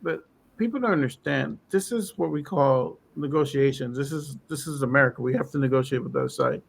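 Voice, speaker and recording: A man in casual political chat on a podcast, losing his voice and clearing his throat as he talks in a rambling way. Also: medium pitch, fairly quick. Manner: rambling, lots of fillers. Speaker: podcast co-host.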